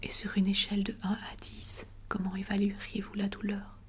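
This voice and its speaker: A woman plays an attentive doctor in a medical ASMR roleplay. She whispers softly in a fairly flat, unvarying tone. Her delivery is gentle and reassuring, with hesitant pauses between phrases. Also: mid-range voice. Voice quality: soft, breathy whisper.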